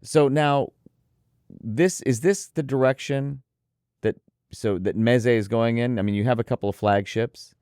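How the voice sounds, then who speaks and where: A man's voice: medium pitch, a little slow, darkish tone, smooth, no echo, studio quality. A man hosting a casual interview, thinking aloud with frequent restarts and hedges.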